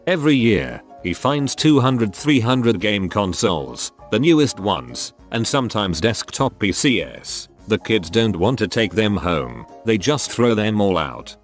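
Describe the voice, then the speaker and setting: Low-voiced man reading anecdotes. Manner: steady, even reading of short anecdotes one after another. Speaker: narrator of online forum stories. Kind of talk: scripted story narration.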